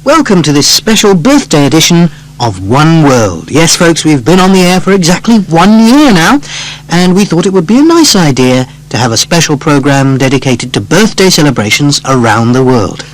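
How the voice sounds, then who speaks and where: A man's voice: fairly high pitch, big pitch swings, normal speed, low-quality recording. A man presenting in a scripted radio style, as announcer and host for a language lesson.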